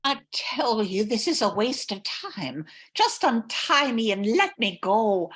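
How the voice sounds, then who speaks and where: A woman's voice: on the high side, sing-song, slow, a bit bright, slightly rough, heavily acted. A woman playing a spirited, pleading young kidnap victim in an amateur old-time radio drama. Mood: indignant pleading.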